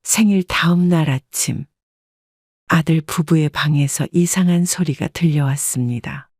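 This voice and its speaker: A woman narrating a first-person family story in a measured, subdued reading. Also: deep voice, darkish tone, dry recording, clean studio sound.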